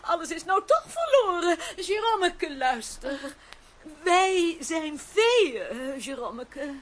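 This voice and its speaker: A woman's voice: very high-pitched, very animated, takes it slowly, a bit bright, heavily acted. A woman in a children's radio play voicing a sentimental, plaintive elderly fairy. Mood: wistful.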